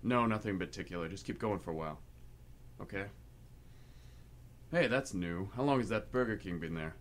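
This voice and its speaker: A man's voice: deep voice, expressive, fairly quick, a bit bright. A man playing a laid-back, evasive older brother home from overseas in a radio play. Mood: casual, guarded. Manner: evasive, casual, clipped answers. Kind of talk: stage-style radio play acting.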